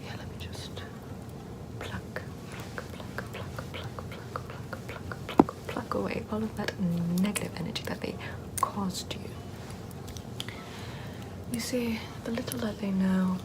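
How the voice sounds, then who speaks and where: A woman playing a sardonic witch healer in an ASMR roleplay, soft-spoken and often whispering in a conversational way. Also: medium pitch, slow, rough recording. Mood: soothing. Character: sardonic Puritan-era witch, chatty, scornful yet soothing healer. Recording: clear background ambient noise.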